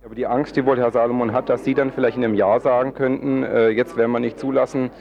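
A man's voice: medium pitch, flat delivery, fast talker, vocal fry, lo-fi sound. A man working as an interviewer, talking off the cuff in a wordy way and circling back over his points. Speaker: radio interviewer. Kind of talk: unscripted interview talk.

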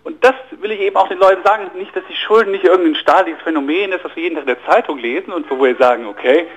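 Guy with a higher voice on a radio interview explaining finance stuff, earnest, keeps repeating words as he goes. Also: racing, husky, poor audio. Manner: earnest, explanatory, often restarts and repeats words mid-sentence. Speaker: interview guest, finance journalist and author.

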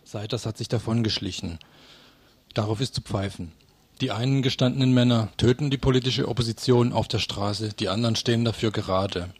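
A man with a husky voice reading a scripted political commentary on the radio. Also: fairly low pitch, fairly quick, no echo.

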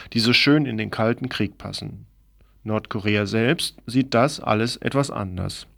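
A man's voice: mid-range voice, creaky, fairly bright tone, dry recording, clean studio sound. A man presenting a scripted political radio commentary in an explanatory way, with ironic asides.

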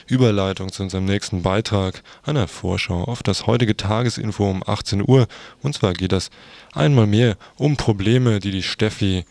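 Creaky low-voiced male radio host. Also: darkish tone, no echo. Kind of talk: informal radio moderation.

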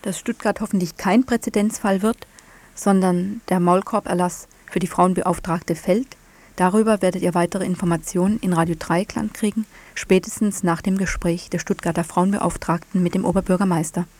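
A woman's voice: lowish voice, steady tone, normal speed, a little mellow. A woman radio reporter reading a scripted news report.

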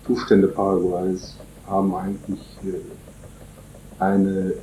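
A man as a guest in a radio interview, speaking haltingly with many fillers and restarts. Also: low-pitched, completely flat delivery, warm tone, echoey room, slight background noise, rough recording. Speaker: interview guest from a solidarity group.

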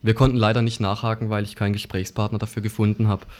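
Guy on local radio, lowish voice, chatting thru the news kinda hesitant and unscripted. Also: level tone, fast talker, darkish tone. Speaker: radio presenter.

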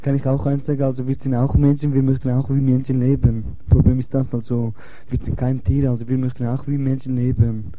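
A man's voice: medium pitch, flat delivery, a little fast, few pauses, dark tone, poor audio. A man from Kurdistan with a Kurdish accent, speaking earnest, broken German and repeating himself. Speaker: asylum seeker. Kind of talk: casual chat.

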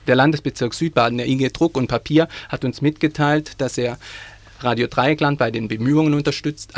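A man's voice: medium pitch, creaky, dry recording. A man reading station announcements on a local radio station, plainly, with small stumbles.

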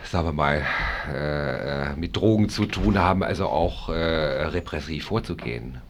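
A man speaking as a physician on a discussion panel, hesitant and measured as he makes his argument. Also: very deep voice, expressive, vocal fry. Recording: faint background noise.

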